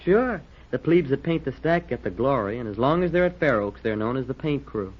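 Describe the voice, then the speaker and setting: A muffled old radio recording of a keen young cadet acted by a man. He talks very quickly, with boyish, emphatic earnestness. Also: medium pitch, animated, natural voice. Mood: keen, insistent. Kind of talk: old-time radio drama acting.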